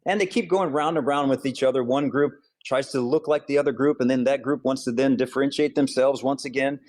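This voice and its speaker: Guy from hawaii, author and counselor, calm earnest interview answers. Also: medium pitch, brisk. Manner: earnest, steady explaining.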